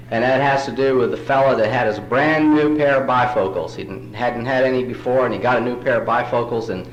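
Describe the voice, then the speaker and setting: A man's voice: mid-range voice, highs cut off. A man from New York City, whose first language is Spanish, telling folksy jokes and self-deprecating stories as a speaker at a recovery meeting. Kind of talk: storytelling talk at a recovery meeting. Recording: roomy hall recording.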